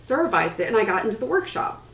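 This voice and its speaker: A woman's voice: mid-range voice, very animated, rapid-fire, a bit bright. A woman writer on a panel, telling a personal story in a casual, conversational way. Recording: roomy echo with some background noise.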